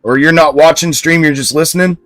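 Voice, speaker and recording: A man with a fairly high voice hosting a live trading stream. He talks loudly and bluntly and answers his viewers' comments straight back. The sound is dry and close, with faint music behind him. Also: brisk, no room sound. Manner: loud speaking voice. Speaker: stock trading livestream host. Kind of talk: casual livestream commentary.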